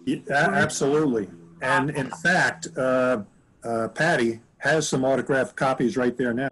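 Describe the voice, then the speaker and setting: A man in his seventies reminiscing casually on a video call from home, rambling and halting as he corrects himself. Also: medium pitch, fairly even intonation, a bit bright, husky, rough recording. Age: 70s. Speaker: author answering audience questions. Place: room at home. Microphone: computer mic on a video call.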